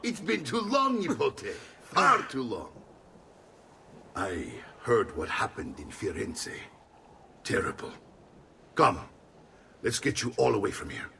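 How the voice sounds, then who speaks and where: An actor playing a jovial, blustering middle-aged Italian uncle in a video game, with an Italian accent and a hearty, theatrical manner. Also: male voice, medium pitch, big pitch swings, slow, vocal fry, pauses often, noisy background, heavily acted. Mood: sombre. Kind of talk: video game cutscene acting.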